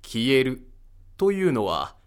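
A man's voice: mid-range voice, sing-song, takes it slowly. A man acting in an audio drama as a polite, contemplative figure who speaks formally while pondering a mystery. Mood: pensive. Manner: formal, courteous. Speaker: voice actor in an amateur audio drama. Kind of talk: dramatic dialogue.

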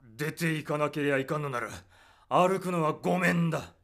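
A man's voice: a little high, fairly quick, a bit bright. A man playing a stubborn, crafty old spaceship engineer in an audio drama, arguing theatrically. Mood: wily, wheedling. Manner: wheedling, argumentative, theatrical.

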